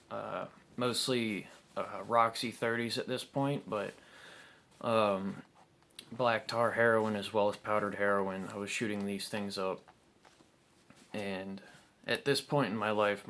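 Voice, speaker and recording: A man's voice: lowish voice, fairly bright tone, a little hiss or hum. A young man telling his own story to camera in an unscripted, candid way, with frequent ums and restarts. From South Carolina, United States.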